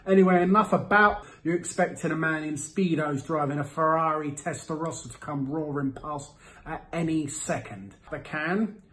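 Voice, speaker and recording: A man's voice: a little high, normal speed, fairly bright tone. Playful man reviewing to camera. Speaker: host of a beer review series. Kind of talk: casual product review to camera. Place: small indoor room with window blinds.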